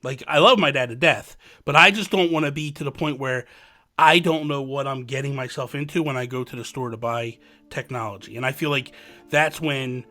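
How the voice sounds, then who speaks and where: A man's voice: mid-range voice, moderate pace, pushed and bright, faint music behind. A man hosting a podcast, chatting casually and rambling as he goes, laughing easily. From New Jersey. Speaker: podcast host.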